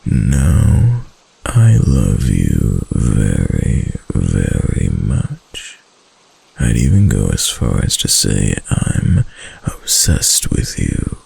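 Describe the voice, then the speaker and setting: A man voicing a doting, obsessive kitsune husband in an intimate ASMR roleplay, breathy and gravelly, with hesitant, coaxing endearments. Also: a bass voice, huge pitch swings, very slow, mellow, heavily acted. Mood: tender, obsessive. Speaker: voice actor in a roleplay.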